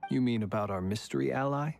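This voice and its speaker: A man's voice: mid-range voice, quick pace, gravelly, darkish tone, soft background music, lightly acted. A man playing a cool, reserved, analytical character in a video game, with a dry, precise delivery. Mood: cool, probing.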